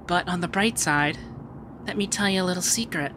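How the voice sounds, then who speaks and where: A man playing a shy, sweet young femboy heir in an ASMR roleplay, hesitant and gently flustered, stammering as he talks. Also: high-pitched, fairly quick, background noise, close to an everyday voice. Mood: gentle, concerned. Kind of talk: ASMR roleplay audio drama.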